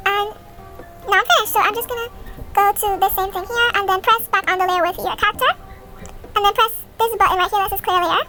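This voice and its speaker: Very high-pitched young woman over music. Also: bright, forceful tone. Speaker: video creator giving a tutorial. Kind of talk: casual step-by-step tutorial voice-over. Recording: music behind the voice. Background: background music.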